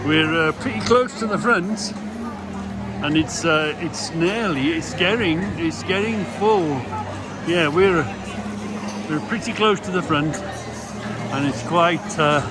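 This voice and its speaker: Guy doing a casual vlog, slow rambly chat, bit high voiced, busy crowd behind him. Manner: rambling, repeats himself, asks the listener questions. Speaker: vlogger. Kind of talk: casual chatty vlog commentary. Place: out on a city street and inside a busy theatre auditorium. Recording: background music and crowd chatter in public spaces.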